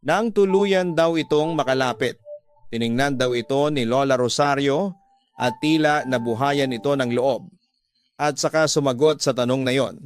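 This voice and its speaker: A man's voice: mid-range voice, moderate pace, clean tone, dry recording. A man giving scripted narration as the storyteller of a radio drama. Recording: music bed under the voice.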